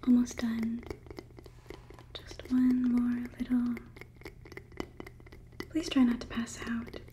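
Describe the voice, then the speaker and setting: A young woman playing a polite, condescending robot overlord in a soft, breathy whispered ASMR roleplay, clinical and curious. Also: on the high side, monotone, slow pace, a little hiss or hum. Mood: clinical, instructive. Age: 20s. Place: small indoor studio set. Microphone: close ASMR microphone.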